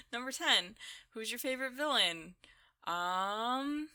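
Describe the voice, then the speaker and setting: Bright-voiced woman chatting casually about games. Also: a little high, huge pitch swings, very slow. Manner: informal, rambling, giggly. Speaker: video game vlogger. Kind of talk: casual chat, answering a list of questions over gameplay.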